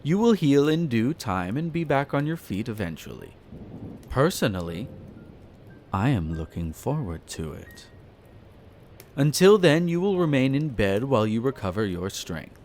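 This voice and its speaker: Man acting out a possessive vampire master, slow delivery, swooping pitch, flirtatious, haughty formal diction, roleplay audio drama. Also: big pitch swings, darkish tone, slight background noise. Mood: composed, haughty. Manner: formal, condescending, savoring words with theatrical pauses. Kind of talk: scripted roleplay audio drama, addressing the listener. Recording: music behind the voice. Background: background music.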